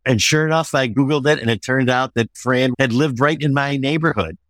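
A mature American man speaks as a guest author in an interview. His pitch sits in the middle for a man, and he explains things in a measured, conversational way. The recording is dry, with no room sound. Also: a bit bright, no echo. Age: middle-aged or older.

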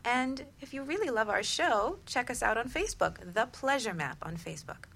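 A middle-aged woman hosting a radio talk show, speaking in a warm sign-off style. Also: fairly high pitch, expressive, moderate pace, gravelly, a little hiss or hum.

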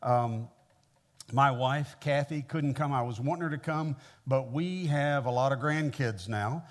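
A man's voice: medium pitch, unhurried. A man in his sixties from the American Midwest with an American accent, preaching conversationally as a visiting pastor in a folksy, personable way. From Midwestern United States (Missouri). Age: 60s. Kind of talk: conversational sermon to a congregation.

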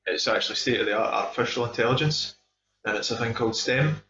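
A man's voice: medium pitch, fast talker, sharp tone. A man with a Scottish accent chatting casually and enthusiastically as he reviews films, with plenty of emphasis. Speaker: film discussion podcast host. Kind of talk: casual film review chat. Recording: dull, echoey room recording.

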